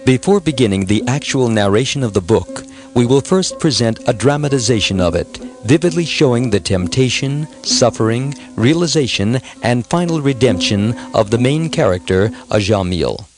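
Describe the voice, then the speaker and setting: A man's voice: medium pitch, expressive, moderate pace, hardly any pauses. A man reading scripted narration as an audiobook narrator. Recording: music behind the voice. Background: music.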